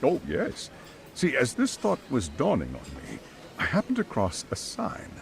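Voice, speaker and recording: A man's voice: medium pitch, wildly swooping pitch, unhurried, vocal fry, heavily acted. An actor playing a polite, formal middle-aged private school chairman in a video game cutscene, speaking in careful, wordy sentences. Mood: enthusiastic.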